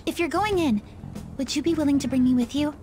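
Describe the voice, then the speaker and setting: Woman playing an earnest, high-voiced teenage girl. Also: fast talker, background noise, natural voice. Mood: hesitant, determined. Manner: polite, hesitant, earnest. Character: earnest, polite teenage schoolgirl with hidden powers. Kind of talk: video game voice acting.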